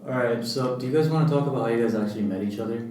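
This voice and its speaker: A man's voice: medium pitch, rapid-fire, darkish tone. Guy hosting a chill talk, mic kinda far, room echoes a lot. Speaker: host. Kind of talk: casual discussion hosting.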